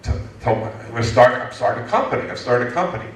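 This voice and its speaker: A man in his fifties from the United States, answering an audience question at a lecture in a lively, joking way with many restarts. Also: mid-range voice, fast. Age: 50s. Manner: animated, joking, frequent restarts. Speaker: guest speaker, entrepreneurship lecturer. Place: lecture hall. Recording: echoey hall from far off.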